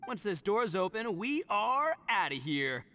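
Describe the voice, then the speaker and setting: A man's voice: sits high, big pitch swings, measured pace, sharp tone, exaggerated voice. A man voicing a brash, boastful and dim-witted oni gang boss in a video game, with a bombastic, dramatic delivery. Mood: cocky. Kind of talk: animated video game voice acting.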